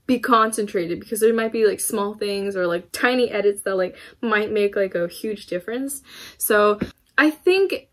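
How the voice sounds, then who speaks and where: This is a young woman of eighteen chatting to the camera in her bedroom. Her voice sits a little high, and she rambles, dropping in many fillers and small laughs as she answers viewer questions. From United States. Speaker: YouTuber. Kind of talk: casual Q&A vlog to camera. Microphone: camera in front of her, laptop beside it.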